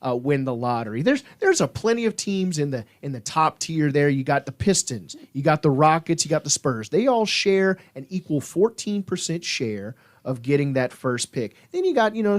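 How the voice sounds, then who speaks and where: A man hosting a casual sports podcast, animated and repeating words as he steers the talk. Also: fairly high pitch, moderate pace, hardly any pauses. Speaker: podcast host.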